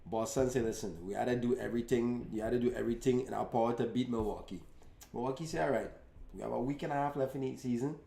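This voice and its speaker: A man trades playful jabs as a sports talk co-host. His voice is dark and gravelly, full of fry. The sound carries an echoey room tone. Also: medium pitch, fast. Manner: teasing, playful, leans on "you know what I mean". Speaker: podcast co-host. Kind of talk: casual sports banter. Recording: echoey room, mic at a distance.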